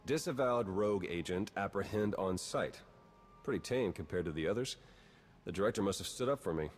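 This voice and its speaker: An actor playing a wry, terse, roguish spy in a video game, dry and matter-of-fact. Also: male voice, low voice, expressive, fairly quick, faint music behind. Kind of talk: cinematic video game acting.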